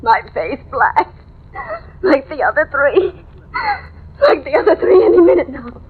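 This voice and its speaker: A shrill, frantic housewife in radio horror. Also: female voice, expressive. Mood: fretful, frantic. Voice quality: shrill, tearful. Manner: halting, breathless, pleading. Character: frantic, paranoid young housewife, terrified of a killer. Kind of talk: 1950s radio horror melodrama acting. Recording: muffled old radio recording.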